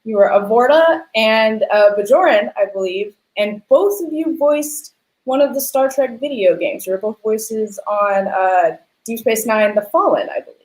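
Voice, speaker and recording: A woman's voice: on the high side, moderate pace. A young adult woman hosting a casual interview in an eager, friendly manner. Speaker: host, interviewer. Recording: roomy, echoey sound.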